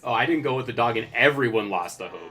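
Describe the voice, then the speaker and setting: A man's voice: mid-range voice, lively intonation, quick pace, bright, forceful tone. Man in casual game banter. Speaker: podcast co-host.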